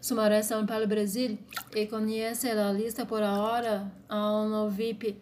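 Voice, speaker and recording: A Brazilian woman whose first language is Portuguese, reading her commentary aloud in short, deliberate phrases. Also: medium pitch, level tone, darkish tone, a little hiss or hum. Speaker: blogger.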